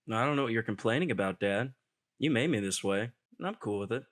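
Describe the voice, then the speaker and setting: A man's voice: lowish voice, lively intonation, fast talker, lightly acted. A man playing a bookish, cheeky, self-assured young son in a comic dub. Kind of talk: dramatic comic dub acting.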